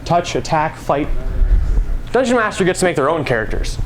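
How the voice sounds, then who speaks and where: High-voiced young man giving a speech. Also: big pitch swings, moderate pace, poor audio. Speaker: student presenter. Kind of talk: prepared live speech. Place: school stage. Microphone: clip-on mic. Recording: live room, background noise.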